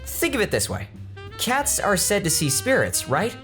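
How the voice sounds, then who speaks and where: A man's voice: high voice, wildly swooping pitch, normal speed, fairly bright tone, faint music behind, heavily acted. A young man playing an earnest, nerdy college student with a stubborn streak in an audio drama. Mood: eager explaining.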